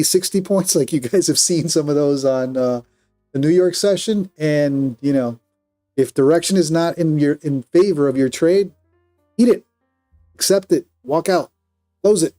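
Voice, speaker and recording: Colombian male streamer, slightly high and warm-toned, frank and self-critical. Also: normal speed, soft background music. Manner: candid, self-critical, with frequent restarts. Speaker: day trader streaming live. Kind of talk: live stream commentary, reading viewer chat.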